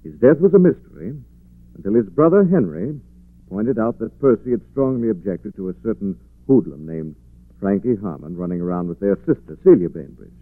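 An actor playing a suave, patient, wealthy young gentleman in a 1940s radio drama. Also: fairly low pitch, big pitch swings, brisk, no room sound. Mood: patient, explaining. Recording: muffled lo-fi 1940s radio recording.

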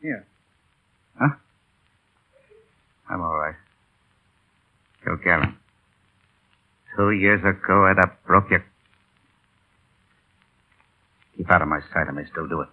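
An actor playing a husky, deadpan veteran flier in 1930s radio drama. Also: male voice, low-pitched, measured pace, pauses often, heavily acted. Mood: low, bitter. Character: deadpan veteran flier, simmering with old grudge. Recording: tinny, band-limited old radio recording.

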